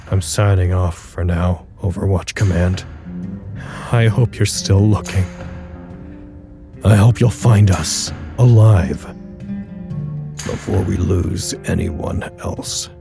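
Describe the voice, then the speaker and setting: An actor in an audio drama monologue playing a grieving, weary facility staffer, subdued, halting and confessional. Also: male voice, low-pitched, creaky, over music, heavily acted. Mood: weary, resigned. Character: grieving, weary male facility staffer broadcasting a personal log.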